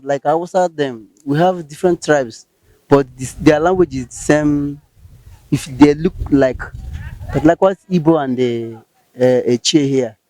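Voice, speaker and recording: A man's voice: on the high side, dark tone, no echo, low-quality recording. A Nigerian man from Rivers State, answering interview questions hesitantly in Pidgin-tinged English. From Etche, Rivers State, Nigeria. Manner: hesitant, many fillers and restarts.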